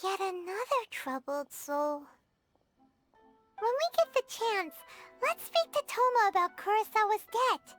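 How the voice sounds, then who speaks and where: A woman voicing a tiny childlike fairy companion in a video game, squeaky, earnest and eager to explain. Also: expressive, measured pace, a bit bright, faint music behind, full character voice. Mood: concerned.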